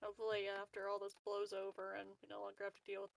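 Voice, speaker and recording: A woman's voice: medium pitch, monotone, fast talker, gravelly. A woman chatting informally and playfully as a player in a tabletop roleplaying game. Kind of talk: casual chat.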